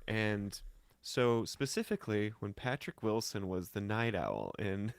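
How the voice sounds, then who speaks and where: A man with an American accent and a fairly low, gravelly voice, chatting casually. His pitch swings freely as he rambles and jokes through stories, and the sound is dry and close. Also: a little slow, no echo. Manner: rambling, joking, animated. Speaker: musician and guest on the show. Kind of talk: casual chat, storytelling.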